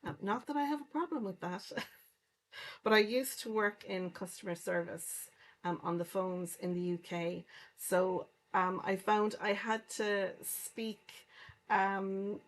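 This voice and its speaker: A middle-aged woman from County Clare with a soft Irish accent, chatting casually while she works through a card-making livestream. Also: medium pitch, fairly even intonation, takes it slowly. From Ireland, County Clare. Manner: chatty, explains each step while working. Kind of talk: casual crafting livestream chat.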